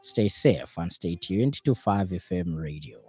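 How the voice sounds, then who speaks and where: A man's voice: low voice, animated, a little slow, mellow, slightly rough, soft background music. A man with a Zambian accent reading a scripted radio news bulletin as the presenter. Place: radio studio in Lusaka.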